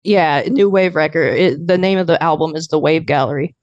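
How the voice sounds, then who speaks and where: A man in his twenties from Arizona, a guest producer and emcee with a fairly high voice, chatting casually and gratefully on a podcast. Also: a little fast, dry recording, highs cut off. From Arizona, USA. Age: 20s. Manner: laid-back, rambling, grateful.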